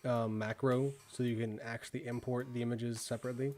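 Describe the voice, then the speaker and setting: A man's voice: medium pitch, level tone, a little fast, darkish tone. Chatty young Midwestern man, rambling. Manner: rambling, lots of "like" and "um". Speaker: streamer reviewing viewer work. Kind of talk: casual live-stream chat.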